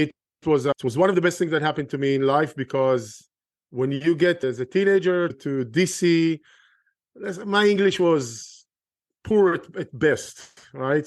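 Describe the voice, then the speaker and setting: A man's voice: a little high, a little mellow, no echo, clean studio sound. A middle-aged man from Israel with an Israeli accent, talking earnestly as a guest on an interview podcast and often restarting his sentences. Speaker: guest, real estate investor.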